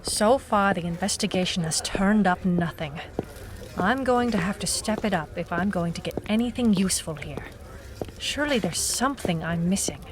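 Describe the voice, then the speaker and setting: A woman playing a wry, dogged private eye in a noir audio drama, speaking in clipped, deadpan phrases. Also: medium pitch, normal speed, natural voice. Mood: brooding.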